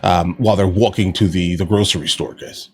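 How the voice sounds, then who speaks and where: An American man with a fairly low voice gives casual political commentary as a reaction host. He speaks conversationally, addressing his viewers directly. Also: fairly even intonation, fairly quick, a little mellow, breathy edge.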